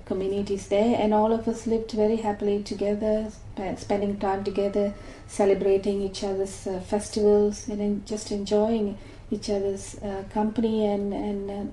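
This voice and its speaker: A woman in her sixties from Malaysia, recalling her life thoughtfully in an oral history interview, with many pauses and restarts. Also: medium pitch, dark tone, reverberant, slight background noise, poor audio. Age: 60s.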